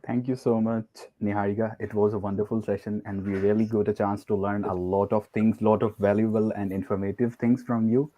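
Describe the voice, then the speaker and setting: A man's voice: lowish voice, little pitch movement, a little fast, few pauses, no echo. A man with a Pakistani English accent hosting an online tech event on a video call, formal and polite. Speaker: event host. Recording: dull lo-fi sound.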